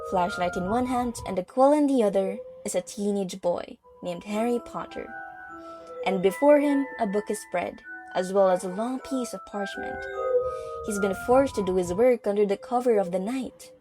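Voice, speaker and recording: A woman reading scripted narration for an audio drama as its storyteller. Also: mid-range voice. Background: music.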